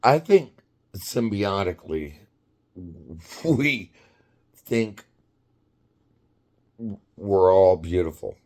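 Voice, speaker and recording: A man in his fifties tells a story in an unhurried, very deep voice. He rambles and stops to restart sentences, and laughter keeps slipping into his talk. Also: very animated, darkish tone. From United States. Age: 50s. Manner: rambling, halting, quick to laugh. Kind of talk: casual storytelling.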